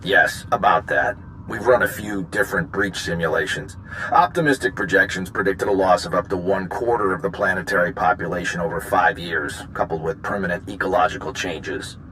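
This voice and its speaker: A matter-of-fact technical expert in a sci-fi game. The voice is deep yet crisp-edged and forceful, clinically measured, over a faint rumbling noise. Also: male voice, low-quality recording. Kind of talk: video game cutscene acting.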